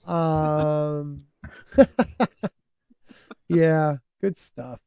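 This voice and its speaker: A man hosting a casual podcast, stuffed up and losing his voice, talking in an animated, opinionated way and laughing often. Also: fairly high pitch, extremely slow, vocal fry, clean tone, no echo, studio quality. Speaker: podcast host.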